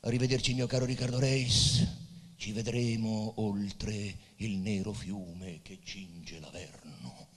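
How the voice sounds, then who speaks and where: Urbane dying poet, long hall reverb. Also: male voice, on the low side, husky. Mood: gracious, ironic. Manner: measured, theatrical, lyrical phrasing. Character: dying male poet, urbane, gracious, gently ironic. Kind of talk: stage drama acting. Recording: hall reverb with guitar music behind. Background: live Portuguese guitar music.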